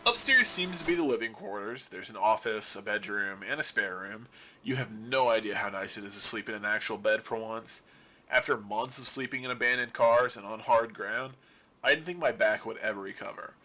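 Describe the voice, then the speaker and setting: A man's voice: fairly low pitch, moderate pace, sharp tone. A man playing a wry survivor of a zombie apocalypse in an audio drama, rambling to his listeners in a casual, sarcastic, self-deprecating radio monologue. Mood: joking.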